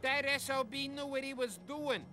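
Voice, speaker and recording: Bright, high, brash sidekick. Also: male voice, fairly even intonation, moderate pace, soft background music. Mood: blunt. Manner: blunt, slangy.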